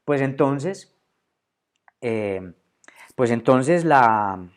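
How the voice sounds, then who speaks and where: A man's voice: mid-range voice, expressive, very slow. Man giving a thoughtful philosophy lecture. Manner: thoughtful, with frequent restarts and repeated words while searching for terms. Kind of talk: solo philosophical lecture video.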